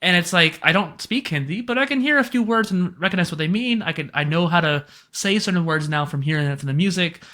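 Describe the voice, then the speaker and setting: Fast, bright-voiced male podcast host. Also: sits high, animated. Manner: enthusiastic and chatty, with quick jokes. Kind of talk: casual podcast conversation.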